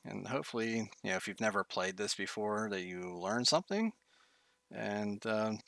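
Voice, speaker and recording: A man's voice: on the low side, expressive, normal speed, gravelly, fairly bright tone, dry recording. A man streaming a game tutorial from his bedroom, thinking aloud with many fillers, his voice getting tired.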